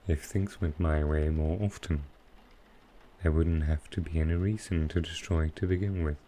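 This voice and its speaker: A man playing a smooth, flirtatious supervillain in an intimate ASMR roleplay, speaking softly and unhurriedly in short, halting phrases. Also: very deep voice, steady tone, a little hiss or hum. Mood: wry, resigned. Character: smooth, flirtatious male supervillain courting his tailor. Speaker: voice actor in audio roleplay.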